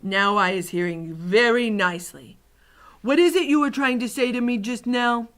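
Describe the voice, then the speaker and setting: A woman voicing a simple-hearted, excitable friendly giant from a children's storybook, with folksy mangled grammar and drawn-out exclamations. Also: on the high side, pitched above the performer's own voice, lightly acted. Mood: relieved.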